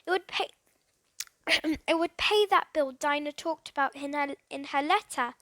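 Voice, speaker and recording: A woman voicing an eager, impulsive schoolboy in a children's storybook, talking with excited urgency. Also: high voice, measured pace, no echo, studio quality. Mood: persuasive.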